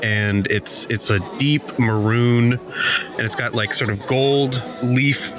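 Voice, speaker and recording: A man's voice: medium pitch, steady tone, slow pace, crowd noise. A man improvising casually as a tabletop game player, rambling and hesitant as he talks. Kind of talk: casual improvised role-play chat. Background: background music.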